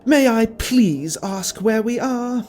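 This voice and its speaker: A man acts out a wary, defiant time traveller in an audio drama, pitched well up from plain narration. He is pointed and emphatic, sarcastically polite, and swoops in pitch. Music plays underneath. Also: lively intonation, slow pace, a little mellow, heavily acted. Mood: forced politeness. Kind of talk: fan audio drama hero acting.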